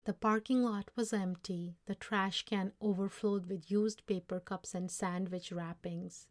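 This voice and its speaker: Female storybook narrator: very unhurried, deliberate, mid-pitched read-aloud. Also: fairly even intonation. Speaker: story reader.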